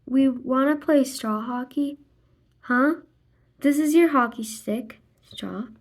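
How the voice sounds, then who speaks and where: A woman reading a children's graphic novel aloud expressively, acting out the dialogue and sound words in a deliberate way. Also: high-pitched. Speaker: read-aloud narrator.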